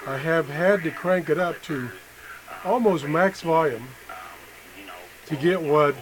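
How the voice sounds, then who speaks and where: A middle-aged man giving an unscripted hands-on demonstration as an amateur tester, halting and correcting himself as he thinks aloud. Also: a little high. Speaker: amateur product tester and video host. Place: indoor room at a workbench. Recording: noisy room with background sound.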